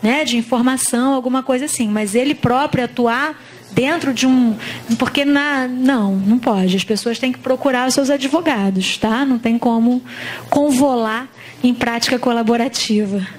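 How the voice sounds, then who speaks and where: Brazilian female lecturer, 40s, high voice, handheld mic in an auditorium, patient explainer. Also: normal speed, a little hiss or hum. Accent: Brazilian Portuguese. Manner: explanatory, frequent tag questions. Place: large auditorium. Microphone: handheld microphone.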